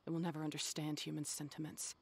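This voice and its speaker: An actress playing a stern, resolute young woman in a video game, speaking in a measured, formal, disdainful way. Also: low voice, flat delivery, creaky, very noisy. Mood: cold, resolute.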